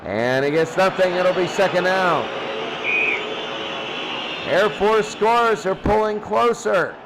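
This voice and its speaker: High-pitched man calling football play-by-play. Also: slow pace, heavy background noise. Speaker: play-by-play commentator for a video game football stream. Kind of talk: sports play-by-play commentary.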